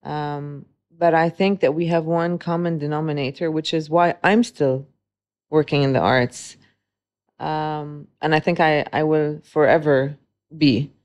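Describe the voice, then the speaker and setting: This is a woman in her forties with a notably low voice, speaking as an interview guest. She talks thoughtfully, pausing and restarting as she searches for words. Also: fairly even intonation, a little mellow. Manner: reflective, with frequent hesitations and restarts. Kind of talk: conversational interview.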